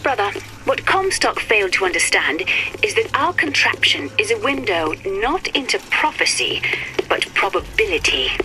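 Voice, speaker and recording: A woman's voice: mid-range voice, very animated, moderate pace, background noise, natural voice. A woman acting as a cerebral, intense scientist delivering a dramatic recorded monologue in a video game, with music over it. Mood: intent, yearning. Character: cerebral, intense female scientist addressing her brother.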